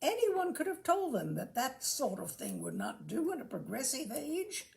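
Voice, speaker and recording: A woman with a fairly high voice reads comic prose aloud. Her intonation swoops expressively and often settles into a gravelly creak. The delivery is theatrical, with deliberate phrasing and pauses for effect. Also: huge pitch swings, a little mellow. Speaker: reader of literary humour. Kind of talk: expressive reading of a comic essay.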